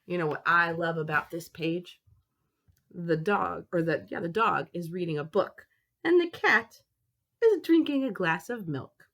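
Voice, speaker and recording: A woman in her thirties reading a picture book aloud as a teacher, expressively and with drawn-out key words for children. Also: fairly low pitch, animated, fairly bright tone.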